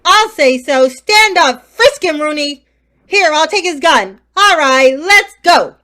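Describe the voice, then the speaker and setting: An actress playing a confident police inspector in a radio play, brisk and matter-of-fact. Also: female voice, sits high, expressive, pushed and bright, full character voice. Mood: commanding. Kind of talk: community theater radio play.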